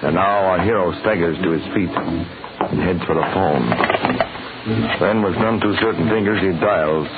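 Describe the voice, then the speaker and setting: A man's voice: deep voice, unhurried. A man announcing in 1940s radio style, reading advertising and story narration with polished, emphatic delivery. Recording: tinny, hissy old-time radio recording.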